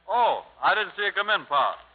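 A dim, obliging young pug. The delivery swings up and down eagerly, in old radio style through a narrow broadcast with long reverb. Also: male voice, sits high, very animated, breathy edge, heavily acted. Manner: slow-witted, eager to please. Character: dim, good-natured young boxer son. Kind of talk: 1950s radio drama acting.

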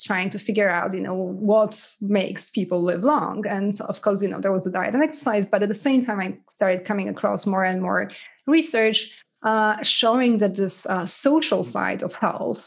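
A middle-aged woman born in Poland, with Polish as her first language, answers interview questions. Her voice has a gravelly fry to it. She talks casually, often stopping to restart a sentence and saying "uh" as she thinks. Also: mid-range voice. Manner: frequent restarts and filler, light laughs. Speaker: author, interview guest.

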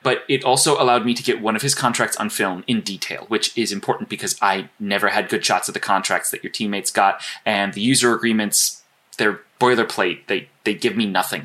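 An actor playing an earnest, apologetic strategist in an audio drama, speaking in a halting, analytical way. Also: male voice, on the low side, steady tone, sharp tone. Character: earnest, apologetic male strategist guiding teammate remotely. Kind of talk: naturalistic audio drama dialogue.

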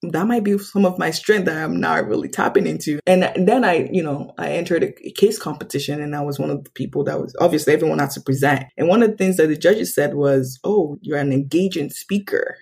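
Husky-voiced woman telling lively stories. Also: fairly quick, few pauses. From Nigeria. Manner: animated, acts out quoted dialogue with big pitch jumps. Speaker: podcast guest. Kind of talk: casual interview storytelling.

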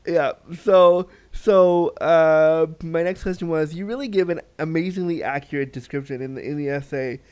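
Smooth-voiced enthusiastic male interviewer. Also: a little high, normal speed, few pauses, darkish tone. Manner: enthusiastic, empathetic, acts out inner thoughts. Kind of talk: conversational interview.

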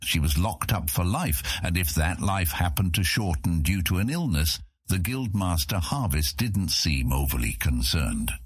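A man's voice: low voice, animated, a little slow, husky, no echo. A man narrating a scripted fantasy audiobook as its storyteller.

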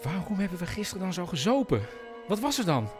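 A woman's voice: lowish voice, big pitch swings, fast talker, music in the background. A woman playing a suspicious, bossy adult daughter, emphatic and insistent, in a radio drama. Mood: groggy, grumbling. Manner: emphatic, interrupting.

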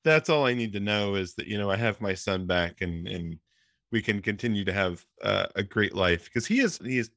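Male podcast co-host, lower voice, dry close sound, recaps plots with musing asides. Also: lively intonation, moderate pace, fairly bright tone, no room sound. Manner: recaps plot, hedging and musing. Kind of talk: casual movie discussion.

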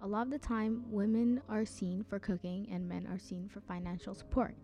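A young woman host reading prepared interview questions and comments in an even way. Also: mid-range voice, normal speed, faint music behind. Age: young adult. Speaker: youth interviewer. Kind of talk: scripted interview questions and narration.

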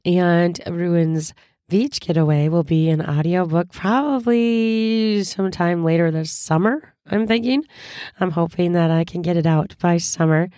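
Hoarse, chatty woman podcast host. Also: fairly low pitch, unhurried, dark tone, no room sound, studio quality. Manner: chatty, upbeat, laughs often. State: hoarse from a cold. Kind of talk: casual solo podcast chat.

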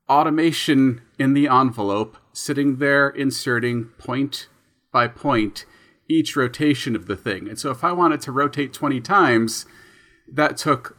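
A man's voice: medium pitch, a bit bright. A young man co-hosting a casual podcast chat, explaining his sound design habits in a thoughtful, self-deprecating way. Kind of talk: casual conversation.

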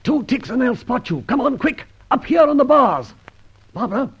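Suave, husky gentleman thief, dull sound. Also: very high-pitched, big pitch swings, moderate pace, heavily acted. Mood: urgent. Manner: breezy, witty, upper-class turns of phrase. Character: suave gentleman adventurer thief, cool, jaunty and commanding. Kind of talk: old radio drama acting. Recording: muffled old radio recording.

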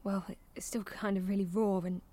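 An actress playing an anxious, stubborn young theme-park trainee with a British accent, in a halting, self-conscious, naturalistic audio drama style. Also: female voice, medium pitch, level tone, dark tone, lightly acted. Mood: hesitant, vulnerable. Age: young adult.

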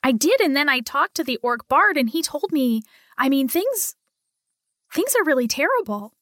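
Woman as idealistic young adventurer, earnest, high-pitched, dry sound, tabletop game. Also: big pitch swings, moderate pace, fairly bright tone, no echo. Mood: determined, pleading.

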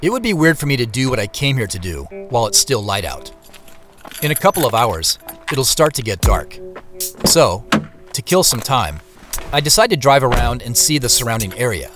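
An actor playing a reflective male traveler, giving measured, descriptive first-person narration in a scripted drama. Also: medium pitch, very animated, over music, lightly acted. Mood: reflective, observant. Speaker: narrator and protagonist.

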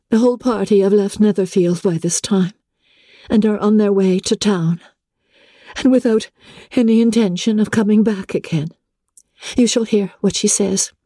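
A woman voicing a gentle, earnest young genteel lady in a classic novel reading, with careful, measured delivery. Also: medium pitch, no room sound, studio quality, voice pushed up, natural voice.

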